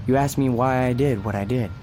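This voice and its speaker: An actor playing Death as a calm, patient man in a radio drama, speaking in a measured, quietly insistent way. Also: medium pitch, background noise, natural voice. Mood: gentle, regretful.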